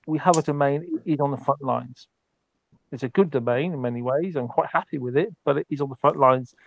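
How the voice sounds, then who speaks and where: A man's voice: on the high side, a little fast, vocal fry, dry recording, low-quality recording. Hesitant man roleplaying with dry sound. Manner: hesitant, many ums and restarts. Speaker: tabletop roleplay player. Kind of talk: in-character tabletop roleplay.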